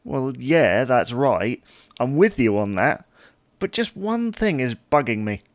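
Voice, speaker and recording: Man acting a lively, hapless British husband. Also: medium pitch, unhurried, no echo. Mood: querying. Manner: mild, querying, gently defensive. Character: easygoing, slightly hapless husband, teasingly defensive. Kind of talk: audio sitcom acting, domestic dialogue.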